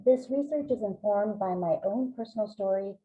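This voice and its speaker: A woman's voice: medium pitch, moderate pace. A woman whose first language is Greek, giving an academic webinar talk in an earnest way with frequent ums. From Greek American family. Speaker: presenting researcher. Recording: tinny phone-like line.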